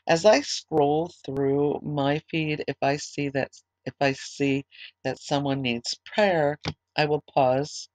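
Slightly hoarse woman leading an online service. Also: extremely low pitch, dry recording, rough recording. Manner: conversational, with fillers and restarts. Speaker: ministry leader. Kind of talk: online service announcements and prayer.

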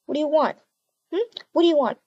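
A woman's voice: sits high, fast, mellow, muffled recording, voice pushed up. A woman playing a sarcastic, confrontational young mother fed up with her cheating ex in a game roleplay. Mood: impatient.

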